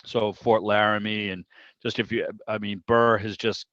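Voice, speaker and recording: A man's voice: fairly low pitch, level tone, moderate pace, a bit bright, dry recording. A man hosting a club video meeting, chatting casually and wandering from one thought to the next. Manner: rambling, digressive, conversational. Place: remote video call. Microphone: video call microphone. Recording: dull, muffled video-call audio.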